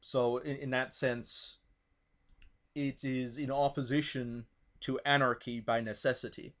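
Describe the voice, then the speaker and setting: A man's voice: mid-range voice, little pitch movement, unhurried, a bit bright. Hesitant man explaining his views. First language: not English. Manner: thoughtful, many restarts and fillers. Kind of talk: casual discussion.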